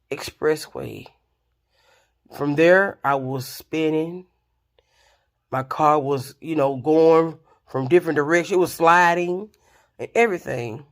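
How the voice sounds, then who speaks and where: Raspy-voiced chatty woman. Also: deep voice, measured pace, long pauses. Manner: chatty, expressive, addresses viewers directly. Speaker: vlogger. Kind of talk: casual storytelling to camera.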